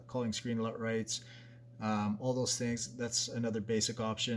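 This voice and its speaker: A man's voice: lowish voice, a little hiss or hum. A man, a basketball coach, talking through a practice drill at a whiteboard in a plain, conversational way with frequent fillers and restarts. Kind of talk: explaining a drill over a whiteboard diagram.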